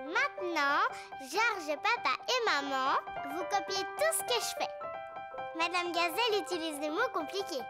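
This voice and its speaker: A young performer voicing a bossy, cheerful little girl pig in a children's cartoon, giggly and boastful. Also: very high-pitched. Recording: music behind the voice.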